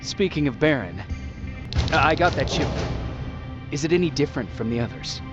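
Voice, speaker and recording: An actor playing a terse, earnest resistance soldier in a video game. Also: male voice, fairly high pitch, lively intonation, moderate pace, highs cut off, over loud music, close to an everyday voice. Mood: puzzled. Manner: terse, questioning. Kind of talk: video game cutscene acting.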